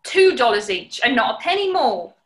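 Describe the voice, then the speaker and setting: An actress playing a plucky, blunt, sceptical British housemaid with a practical, indignant manner in a 1940s-style radio comedy. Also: female voice, on the high side, big pitch swings, moderate pace. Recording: echoey room.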